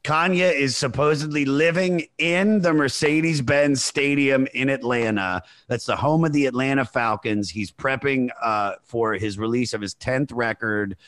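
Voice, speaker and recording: A man's voice: medium pitch, moderate pace, few pauses, fairly bright tone, no room sound. A man hosting a podcast in casual chat, reading trivia and making crude jokes, saying "dude" a lot. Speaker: podcast host.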